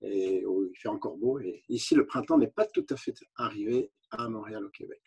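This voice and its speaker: An older man who grew up speaking English, a retired doctor explaining at length in a measured way, with frequent hesitations and restarts. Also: lowish voice, creaky, darkish tone, husky. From Canada. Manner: unhurried, many hesitations and restarts. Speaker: physician. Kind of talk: explaining to an interviewer.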